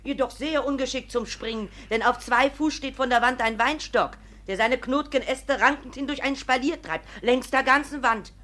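An actress playing a middle-aged, sharp-tongued village mother who speaks emphatically and plainly in a classic comedy radio play. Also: sits high, moderate pace, hardly any pauses. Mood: matter-of-fact.

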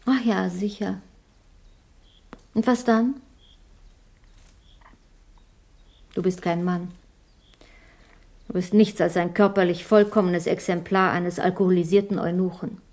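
An actress playing a bitter, sarcastic wife in a 1980s radio drama. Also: female voice, lowish voice, steady tone, measured pace, pauses often, darkish tone. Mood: scornful. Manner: biting, sarcastic. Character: bitter, scornful wife, failed playwright.

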